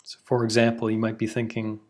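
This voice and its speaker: A man gently leads a guided meditation. His voice sits slightly low, and he speaks slowly and calmly, leaving long pauses between soothing instructions. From Canada.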